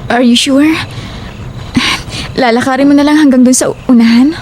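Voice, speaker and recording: A woman's voice: high-pitched, steady tone, normal speed, darkish tone, a little hiss or hum, natural voice. A woman playing a troubled, determined young daughter in an audio drama, sounding weary and resolute.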